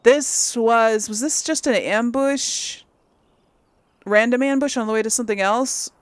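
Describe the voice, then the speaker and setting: A woman's voice: on the high side, measured pace, dry recording. A woman casually commentating on a game, rambling and thinking aloud in an easily amused, chatty way. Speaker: gameplay host.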